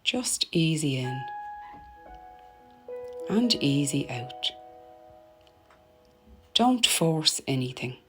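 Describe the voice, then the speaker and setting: A woman narrating a guided meditation in a soothing, gentle, deliberate manner, letting her phrases end softly. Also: sits low, sing-song. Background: meditation music.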